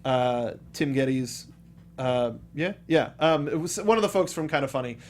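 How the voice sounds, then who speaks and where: A man's voice: medium pitch, moderate pace, a little mellow. A man hosting a casual panel chat about video games, often circling back and repeating his phrases. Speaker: talk show host.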